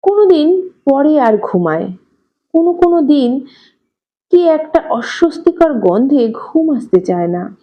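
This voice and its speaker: A woman reads a literary story aloud in a fairly high voice. Her pace is slow and measured, with expressive narration. The recording is slightly dull. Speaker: audiobook narrator.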